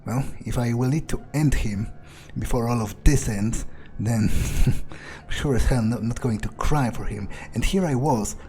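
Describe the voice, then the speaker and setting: A man's voice: mid-range voice, a little slow, dark tone, a little hiss or hum. A man playing a video game in character, musing aloud with dry, muttered asides. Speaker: roleplaying gamer. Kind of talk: in-character roleplay commentary.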